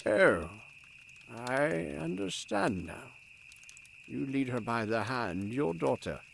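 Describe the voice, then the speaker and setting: A man's voice: mid-range voice, sing-song, slow pace, vocal fry, slight background noise, exaggerated voice. A man playing a kindly, hesitant old priest and physician of ancient Rome in an audio drama, earnest and courteous. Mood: gently regretful. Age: older.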